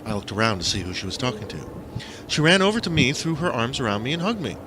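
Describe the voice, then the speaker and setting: A man's voice: mid-range voice, very animated, quick pace, background noise. A man reading his own stories as a dramatic first-person audiobook narration, with a theatrical manner, on a homemade recording.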